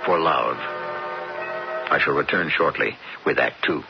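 A man hosting and narrating a radio drama in a measured, formal, portentous scripted style. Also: a bass voice, creaky. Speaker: host and narrator. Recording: muffled old radio broadcast with music. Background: music.

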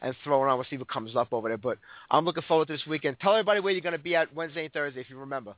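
A hoarse man hosting a radio show, chatting eagerly and joking with his guests. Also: on the high side, rapid-fire, dry recording. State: hoarse from illness. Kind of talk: casual radio interview chat. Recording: tinny dry phone-like sound.

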